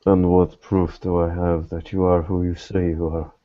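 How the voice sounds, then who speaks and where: Tabletop game PI, played by a man: hooded, sardonic, guarded, low register, slightly muffled audio. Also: level tone, moderate pace, close to an everyday voice. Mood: guarded, curt. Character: guarded, hooded smoking private investigator, curt and sardonic.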